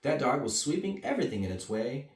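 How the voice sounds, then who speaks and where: A man's voice: medium pitch, big pitch swings, fairly quick. A man reading a bedtime story to children with casual asides. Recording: roomy echo, mic at a distance.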